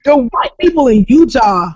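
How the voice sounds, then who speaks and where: A woman from Jacksonville, Florida with a raspy voice, telling profane stories in casual chat as a comedian guest, often laughing loudly. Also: a little high, very animated, normal speed. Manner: brash, profane storytelling with loud laughter. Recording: dull lo-fi podcast audio.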